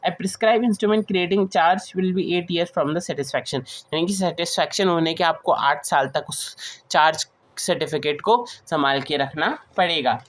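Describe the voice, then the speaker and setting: A woman with an Indian accent giving an explanatory lecture as a teacher, reading provisions aloud and then explaining them with repeated key points. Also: fairly low pitch. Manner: switches between slow English reading and Hindi explanation, repeats key points. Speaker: teacher of company law.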